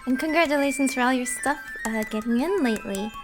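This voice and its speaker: Giggly woman chatting on a podcast, really high voice, playful. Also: fast, music in the background. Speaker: podcast voice actor guest. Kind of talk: casual chat.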